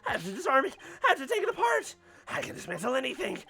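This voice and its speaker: A woman's voice: high-pitched, wildly swooping pitch, racing, gravelly, bright, forceful tone, exaggerated voice. A woman voicing an unhinged, bitter male bomber from a video game, ranting in a frantic, repetitive, melodramatic way. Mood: manic.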